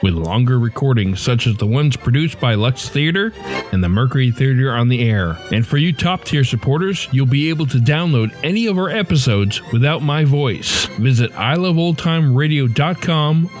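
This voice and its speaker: A man's voice: medium pitch, animated, moderate pace, faint music behind. A man hosting a podcast, reading scripted announcements and introducing the show. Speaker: podcast host.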